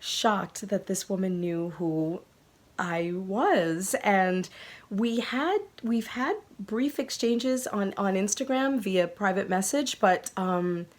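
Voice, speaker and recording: A woman hosting her podcast, telling a story to viewers in a gushing, excitable way, her voice a little croaky from a cold. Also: mid-range voice, slow pace. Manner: gushing and excitable, laughing often. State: recovering from a sore throat. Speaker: podcast host.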